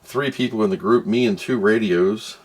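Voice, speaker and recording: A man with a fairly low voice hosts a casual product test. He talks off the cuff, thinking aloud and grumbling as he works things out. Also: normal speed. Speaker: host of a product test video. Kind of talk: casual unscripted product review.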